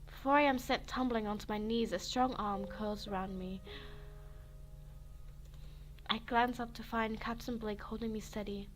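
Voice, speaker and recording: A woman's voice: a little high, steady tone, moderate pace, pauses often, a little hiss or hum. Giggly young woman reading a story game. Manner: playful, giggly asides, self-correcting. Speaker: game narrator. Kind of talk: reading an interactive story game aloud with jokes. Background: faint music.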